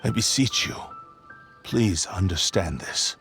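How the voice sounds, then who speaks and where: A grave, proud swordsman in a video game, full of remorse. His fairly low voice pleads in solemn, formal words over faint music. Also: animated, slow, fairly bright tone, heavily acted. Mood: remorseful, pleading. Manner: formal, beseeching.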